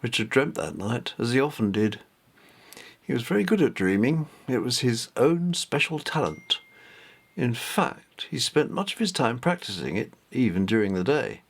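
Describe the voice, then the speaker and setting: A man narrates a scripted story in a medium-pitched voice. He reads carefully, as an amateur author would when sharing his own tale. The delivery is that of a plain storybook reading, without professional polish. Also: lively intonation, a little slow.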